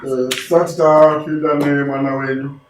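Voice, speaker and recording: A man's voice: fairly high pitch, level tone, moderate pace, reverberant, distant mic, noisy background, close to an everyday voice. A man playing a groom's go-between, making polite, deferential requests in a dramatized marriage negotiation. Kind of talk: acted ceremonial negotiation.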